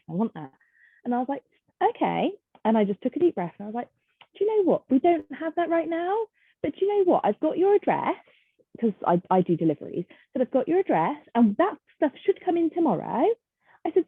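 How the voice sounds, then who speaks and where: A woman in casual conversation, animated, acting out the people in her stories. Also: medium pitch, husky, dry recording. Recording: dry, phone-like sound.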